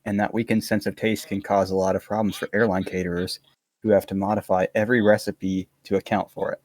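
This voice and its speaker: A man's voice: lowish voice, little pitch movement, fast talker, darkish tone, no room sound. A man reading an explainer script aloud to friends in an online voice chat, tripping over words and breaking off to joke.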